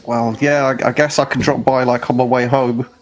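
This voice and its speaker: A man's voice: medium pitch, level tone, fairly quick. A man playing a tabletop roleplaying game, talking casually in and out of character. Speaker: player.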